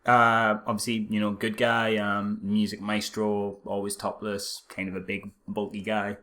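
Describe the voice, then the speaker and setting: Male podcast co-host, medium-pitched, casual and conversational, with a sarcastic, mock-impressed streak. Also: monotone, measured pace, bright, forceful tone. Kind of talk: casual film critique chat.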